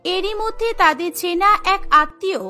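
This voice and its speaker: A woman narrates a cartoon story in a very high-pitched voice. Her tone is bright and forceful, and music plays behind her. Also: normal speed.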